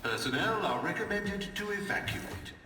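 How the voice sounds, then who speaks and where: Polite automated base announcer; high, roomy, distant PA sound with long reverb. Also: male voice, moderate pace. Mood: calm. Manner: calm, polite, formal announcements. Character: calm male facility announcement system. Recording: echoey, far-off PA over music.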